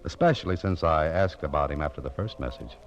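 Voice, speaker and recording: An actor playing a world-weary, wry American private detective in a deadpan radio noir style. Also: male voice, rumbling low, fast talker. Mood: dry probing. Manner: dry, deadpan, wisecracking. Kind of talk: 1940s-50s radio noir narration and dialogue. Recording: muffled vintage radio recording.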